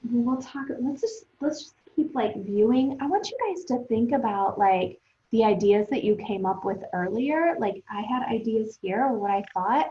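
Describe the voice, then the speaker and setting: A woman teacher thinking aloud for young pupils in a conversational, expressive read-aloud lesson, speaking to them directly. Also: on the high side, moderate pace, few pauses, highs cut off, a little hiss or hum.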